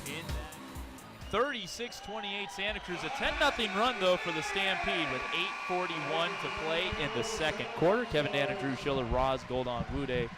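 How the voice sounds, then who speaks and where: A man calling live basketball play-by-play for radio in clipped descriptive phrases, quick to get excited. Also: a little high. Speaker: play-by-play announcer. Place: courtside in an arena. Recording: noisy gym with bouncing balls. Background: basketball game arena noise.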